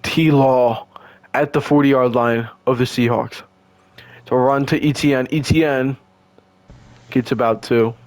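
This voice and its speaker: Hoarse young man calling a game. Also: mid-range voice, unhurried, pauses often, dry recording. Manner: excitable, slangy, stumbles and restarts. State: hoarse, losing his voice. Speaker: play-by-play commentator. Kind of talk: casual video game play-by-play.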